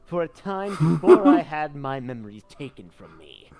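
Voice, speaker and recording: A man's voice: a little high, huge pitch swings, normal speed, a little mellow. A man voicing an embittered, menacing hedgehog military commander in a comedic fan dub, with cold, theatrical delivery. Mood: cold menace.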